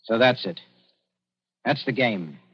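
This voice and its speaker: An actor playing a cool young colonial official, speaking in a dry, unhurried way in a 1940s radio drama. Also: male voice, medium pitch, animated, husky. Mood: calm. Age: young adult. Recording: dull 1940s radio broadcast.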